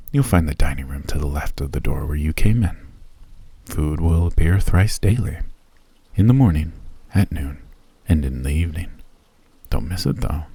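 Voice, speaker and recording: A man voicing a gracious elf who has lived for centuries, speaking in an ASMR roleplay. His voice is very deep, mellow and husky, and it sits dry and close to the mic. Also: dry recording, full character voice. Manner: gracious, formal and softly spoken. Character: ancient male elf host, courteous and genteel.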